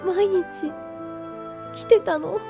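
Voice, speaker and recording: A woman's voice: very high-pitched, very slow, vocal fry, over music, heavily acted. A woman playing a tender, wistful twenty-year-old village girl in a Japanese radio drama, full of longing. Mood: upset, disbelieving. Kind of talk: radio drama acting with inner monologue.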